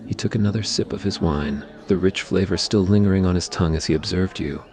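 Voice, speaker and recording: A man's voice: low-pitched, fast talker, darkish tone. A man narrating a scripted romantic ASMR story.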